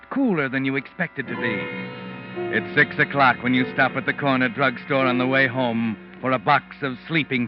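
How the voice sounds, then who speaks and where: A man's voice: medium pitch, natural voice. Male actor, all-knowing taunting mystery narrator for 1940s radio, insinuating, menacing, music behind, muffled old sound. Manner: insinuating, deliberate, addresses the culprit as you.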